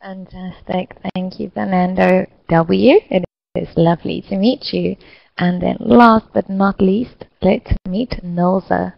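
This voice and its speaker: A woman facilitating an online English lesson, speaking slowly and clearly to encourage her students. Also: on the low side, fairly even intonation, dry recording, muffled recording. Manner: slow, clear, encouraging teacher talk. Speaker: English teacher hosting an online class.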